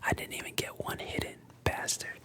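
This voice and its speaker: A storyteller voicing a brash, hot-tempered young boy in a soft whisper. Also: normal speed, natural voice. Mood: angry.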